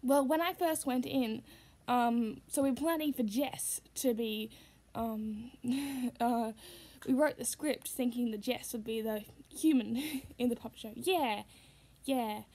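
A woman's voice: fairly high pitch, takes it slowly, warm tone. A young Australian woman chatting casually to a close camera in a small room, rambling with many fillers. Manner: rambling, full of fillers and laughs. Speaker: vlogger and puppeteer. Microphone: device camera held close.

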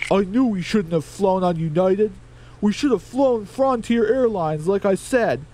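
A man plays a nervous male cartoon chicken in a raised, whiny voice. He gripes and complains, his pitch moving in lively swings. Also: unhurried, background noise. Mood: whiny blame. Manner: whiny, griping.

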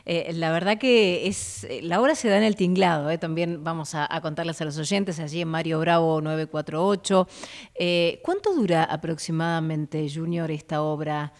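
A woman's voice: low voice, normal speed. A woman in her thirties from Argentina with a Rioplatense accent, hosting a live radio interview in a small studio, friendly, with many short affirmations. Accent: Rioplatense Spanish. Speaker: radio host. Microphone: studio mic on a boom arm.

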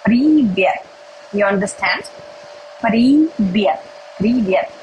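Slow-talking young Russian woman. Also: mid-range voice, expressive, darkish tone, muffled recording, slight background noise. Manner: slow, simple English with pauses and hums. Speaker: livestream host. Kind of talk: casual livestream chat while eating. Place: indoors at a table by a window.

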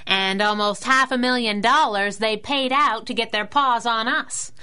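An actress playing a teasing young superhero sidekick in a 1930s radio drama, with a pert, playful manner. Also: female voice, on the high side, measured pace, pushed and bright, smooth. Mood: pleased, admiring.

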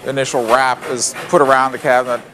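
A man's voice: mid-range voice, level tone, quick pace, a bit bright, low-quality recording. A man guiding a walking tour through a factory, explaining each step plainly with frequent fillers and restarts. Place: loud factory floor. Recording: factory noise behind voice.

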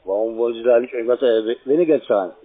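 A man with grammar makes an insistent cold-call pitch. He talks quickly at a medium pitch, and the line is tinny and narrow like a telephone. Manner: pushy, insistent, halting grammar. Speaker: call center electricity salesman. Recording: tinny, band-limited phone line.

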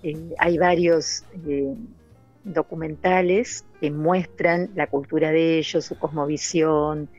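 Low-voiced woman, hesitant. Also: steady tone, slow pace, a little mellow, soft background music. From Santa Fe, Argentina. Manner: earnest, with many hesitant "eh" fillers. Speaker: novelist guest. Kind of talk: interview over a call. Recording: dull, lo-fi remote audio.

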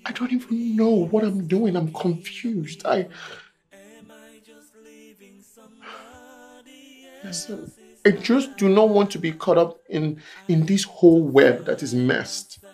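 A man's voice: very high-pitched, sing-song, moderate pace, a bit bright, faint music behind, heavily acted. An actor playing a young man, upset and defensive about a scandal claiming he was adopted. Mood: shaken. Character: agitated young man accused of being adopted. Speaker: adult son.

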